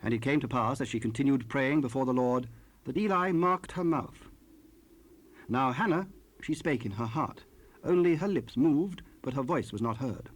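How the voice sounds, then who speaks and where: A man narrating scripture in a solemn, measured, formal style for an audio drama. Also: mid-range voice.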